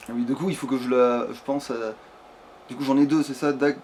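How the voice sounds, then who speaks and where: A man's voice: mid-range voice, quick pace. A man giving casual gaming commentary, muttering to himself hesitantly and sometimes swearing. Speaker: let's play gamer. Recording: steady background noise.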